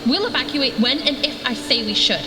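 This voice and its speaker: Woman playing a high-voiced defensive scientist, echoing. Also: brisk, close to an everyday voice. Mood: imperious, angry. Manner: imperious, defensive. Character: proud, defensive female scientist cracking under blame. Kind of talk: audio drama acting. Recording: long echoing reverb under music.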